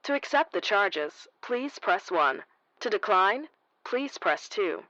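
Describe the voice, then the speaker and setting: Casting: actress as automated female phone operator, scripted announcement with very animated intonation, dry dull line. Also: mid-range voice, takes it slowly, no echo, exaggerated voice. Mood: impersonal.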